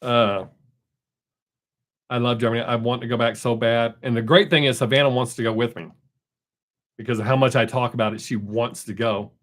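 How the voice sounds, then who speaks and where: A man's voice: medium pitch, fairly even intonation, normal speed, long pauses. A middle-aged man, hosting a casual live stream and chatting with viewers. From American South. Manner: chatty, rambling, reads chat aloud. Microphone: desk microphone.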